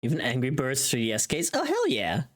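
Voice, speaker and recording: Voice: medium pitch, huge pitch swings, a little fast. A livestream host chatting casually, rambling and thinking aloud to themselves.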